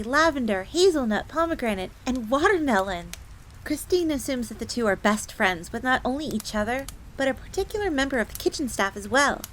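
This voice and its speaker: A woman's voice: a little high, a little hiss or hum, close to an everyday voice, poor audio. A woman playing a devoted, easily flustered young bunny maid in a roleplay audio drama, speaking of herself in the third person.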